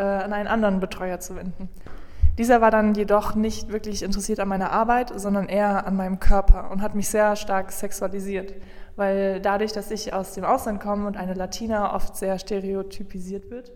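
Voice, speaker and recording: A woman from Colombia, a lawyer, giving calm, earnest interview answers. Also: medium pitch, in a large hall.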